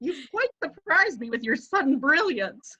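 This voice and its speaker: Elderly society matron, read by a woman: high, sing-song, sharply teasing. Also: sing-song, normal speed, pushed and bright, lo-fi sound, full character voice. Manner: tart, incredulous and teasing. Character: sharp-tongued elderly society lady, skeptical. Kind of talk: readers theatre reading.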